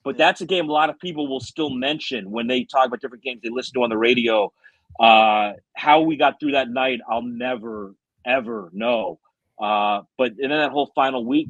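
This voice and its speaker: A man's voice: mid-range voice, a bit bright, no room sound, lo-fi sound. A middle-aged American man, a former baseball radio announcer, telling stories conversationally as a podcast guest. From South Florida, United States. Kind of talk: conversational storytelling.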